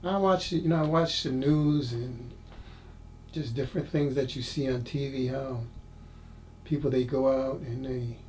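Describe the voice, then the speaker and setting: A man's voice: medium pitch, fairly even intonation, measured pace, a little mellow, a little hiss or hum. A man in his sixties interviewing an older man in casual conversation, asking prompting questions and laughing easily. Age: 60s.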